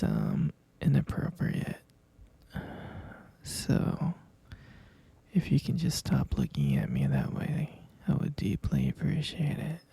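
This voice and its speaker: A hesitant, concerned middle-aged stepfather, acted by a man in an audio roleplay. He speaks slowly in a half-whisper, halting between phrases. The voice is very dark and full of gravelly creak. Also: on the high side, no echo, full character voice. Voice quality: breathy, gravelly. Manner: halting, hushed, drawn-out delivery. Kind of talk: scripted ASMR roleplay.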